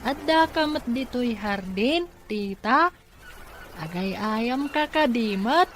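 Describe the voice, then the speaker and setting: A woman's voice: a little high, animated, slow pace, fairly bright tone. A woman playing a helpful, curious young relative in an Ilocano radio drama.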